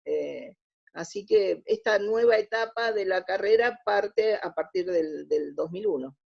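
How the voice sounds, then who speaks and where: A woman's voice: mid-range voice, measured pace, gravelly, slightly rough, lo-fi sound. An Argentine woman talking as an interview guest, explaining her opinions and often rephrasing mid-sentence. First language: Spanish. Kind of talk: conversational radio interview.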